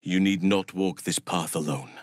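An actor playing a calm, wise elven druid man in a video game, speaking in a measured, formal, earnest way. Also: low-pitched, no room sound, clean studio sound, natural voice. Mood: grave, gentle. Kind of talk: video game character voice lines.

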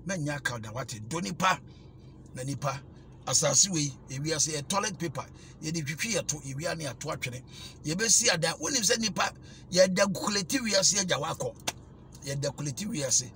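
A man's voice: on the high side, animated, normal speed, pushed and bright, slight background noise. A middle-aged Ghanaian man preaching emphatically into a dashboard phone while driving. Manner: emphatic, preachy, repeats blessings. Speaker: evangelist. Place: inside a small car.

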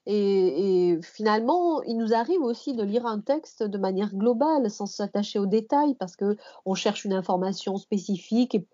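Female podcast expert, mellow and slightly high, explanatory delivery with rephrasing, dull audio. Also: expressive, moderate pace, few pauses. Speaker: guest expert, language teacher and researcher. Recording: muffled, band-limited podcast audio.

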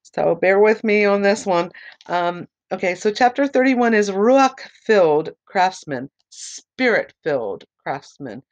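A woman's voice: mid-range voice, expressive, lo-fi sound. Woman with a fading voice reading scripture. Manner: reads slowly, spells out names letter by letter. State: losing her voice from allergies. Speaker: Bible study teacher. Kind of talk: reading scripture aloud and explaining.

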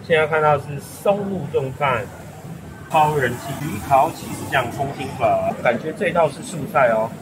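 A man's voice: a little high, normal speed. A man with a Taiwanese Mandarin accent, chatting casually as he walks through a busy hotel buffet and lists the dishes one by one, often adding little tags like "ha" and "a". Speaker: food vlogger. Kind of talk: casual restaurant walkthrough. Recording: noisy restaurant background.

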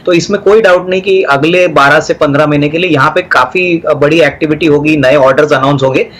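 A middle-aged man, a market analyst on a business TV channel, giving steady stock advice and mixing in English terms. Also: fairly high pitch, a little fast. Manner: steady advisory tone, Hindi mixed with English terms.

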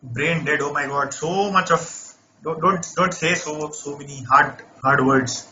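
A man runs a live online math class in a high, sharply bright voice. He coaxes students along, checks in with them again and again, and sounds a little off-voice. The audio is dull and lo-fi, with faint noise behind him. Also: measured pace, soft background music. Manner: coaxing, repeats checks like "right?". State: voice not normal, says he lost his voice. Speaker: math teacher.

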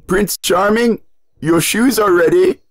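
A man voicing a kindly old shoemaker in a fairy-tale cartoon, speaking deliberately. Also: high voice. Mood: kindly, proud. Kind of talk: animated fairy-tale acting.

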